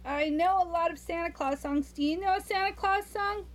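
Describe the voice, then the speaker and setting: A woman reading a storybook aloud to young children, expressive and sing-song, adding playful asides straight to the listener. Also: very high-pitched, normal speed.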